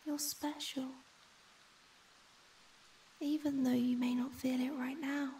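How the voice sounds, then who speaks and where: A woman voicing a shy, gentle young ghost in a soft, breathy whisper for an ASMR comfort roleplay. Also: high voice, flat delivery, distant mic, slight background noise. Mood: tender, soothing. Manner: slow, tender, hesitant.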